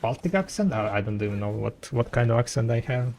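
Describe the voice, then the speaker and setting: A man whose first language is Russian, with a light Russian accent, chatting with viewers as a game streamer and trailing off in halting restarts. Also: mid-range voice, expressive, a little mellow. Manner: rambling, halting, many restarts. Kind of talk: casual chat with viewers.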